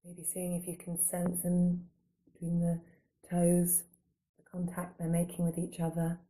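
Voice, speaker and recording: A woman guiding a body scan meditation in a calm, gentle, unhurried manner, giving slow soothing instructions with long pauses. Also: fairly low pitch, poor audio. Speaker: meditation guide.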